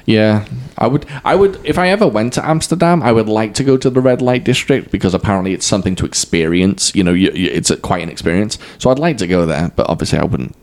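Young man, Welsh accent, gravelly low voice, animated pitch, chatty film podcast host. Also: fast talker. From Wales. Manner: laughs often, animated storytelling. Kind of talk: casual film review chat.

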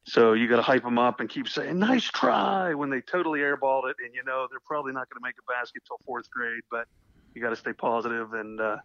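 A slightly hoarse man talking casually and with enthusiasm as a guest co-host on a podcast. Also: mid-range voice, quick pace, a bit bright, no room sound. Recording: dull, lo-fi sound.

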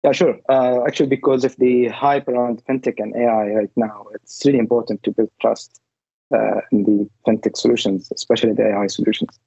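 A man's voice: mid-range voice, flat delivery, brisk, creaky, mellow, husky, no echo, dull audio. A man, a tech co-founder on a podcast, explaining matter-of-factly. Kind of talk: podcast interview answer.

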